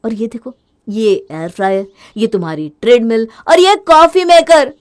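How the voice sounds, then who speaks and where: A woman's voice: on the high side, very animated, normal speed. A woman voicing a young husband in a storybook drama, fed up with his wife's spending and mocking her sarcastically. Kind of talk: storybook voice acting.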